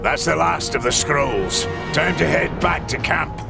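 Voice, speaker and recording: A man voicing a brash, battle-hungry axe warrior with a gruff, gravelly, cocky manner. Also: a little high, a little slow, far from the mic, loud music behind the voice. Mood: eager. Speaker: game hero.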